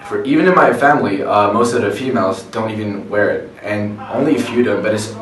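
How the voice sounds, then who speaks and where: A teenage boy from Morocco, a high school student who explains carefully with examples. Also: a little fast, reverberant, recorded from a distance, a little hiss or hum, low-quality recording.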